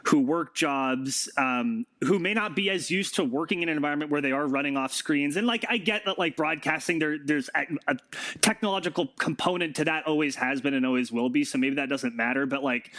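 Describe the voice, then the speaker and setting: A man's voice: mid-range voice, a bit bright. A man hosting a casual podcast, asking rambling questions that stop, start and correct themselves. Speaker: podcast host, interviewer. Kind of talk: casual chat.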